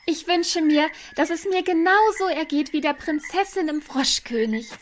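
A woman's voice: very high-pitched, moderate pace, a little hiss or hum. A woman playing a dreamy, romance-struck young princess in a fairy-tale radio play, gushing and politely insistent.

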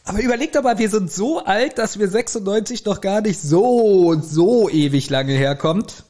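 Animated man with a higher-pitched voice. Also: moderate pace. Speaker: podcast co-host. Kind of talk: casual chat.

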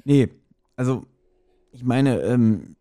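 A man with a medium-pitched voice chats as a podcast co-host. He thinks aloud in everyday language, circling back and repeating phrases to stress his point. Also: expressive, takes it slowly, warm tone. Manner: colloquial, musing, repeats himself for emphasis. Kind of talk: casual chat recapping a radio play.

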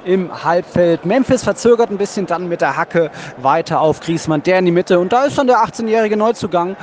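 A man's voice: high-pitched, expressive, a little fast. A man hosting a football podcast, giving casual live impressions from the stands of a stadium. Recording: noisy stadium, loud crowd behind. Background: crowd noise and whistling.

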